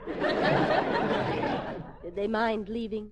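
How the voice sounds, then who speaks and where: Wry wisecracking wife, very noisy recording. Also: female voice, mid-range voice, flat delivery, very slow, a loud crowd. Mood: confiding. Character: wry, scheming, wisecracking young wife Liz. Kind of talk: 1940s radio comedy acting. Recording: noisy old radio, loud studio audience.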